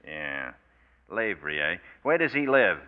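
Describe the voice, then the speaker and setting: A man's voice: sits low, sing-song, unhurried. A male actor with an American accent playing a tough, cynical, wisecracking private detective, sardonic and clipped, in a 1940s radio noir. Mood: sardonic, needling. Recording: tinny, reverberant 1940s radio recording.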